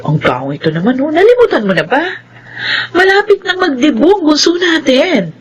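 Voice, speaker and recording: An actress playing a caring middle-aged mother and wife in a Filipino radio drama, expressive and gentle. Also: sits high, normal speed. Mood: pleading.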